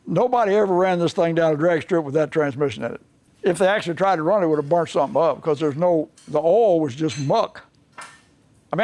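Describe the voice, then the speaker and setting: A man's voice: a little high, a little mellow, no echo. An older man with a Southern US accent, a mechanic explaining a restoration job in a plainspoken, folksy way. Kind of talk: unscripted workshop explanation. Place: workshop.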